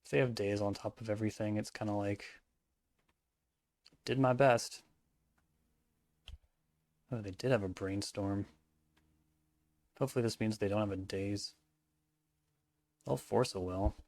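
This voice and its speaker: A man casually commentating his own card game match, thinking aloud in mumbled asides, his voice hoarse and cracking at times. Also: fairly low pitch, fairly even intonation, unhurried, pauses often, a little mellow.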